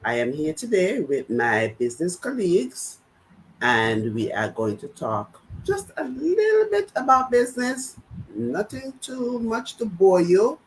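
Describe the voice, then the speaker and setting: A woman's voice: mid-range voice, a little hiss or hum, poor audio. A woman from the US Virgin Islands hosting a casual online business talk show, greeting and introducing her guests. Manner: lively, chatty, drawn-out greetings. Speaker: host.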